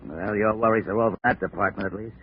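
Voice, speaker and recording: An actor playing a skeptical police lieutenant with a dry, sarcastic manner in a 1940s radio detective drama. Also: male voice, lowish voice, fairly even intonation, quick pace, breathy edge. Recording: noisy, tinny 1940s radio recording.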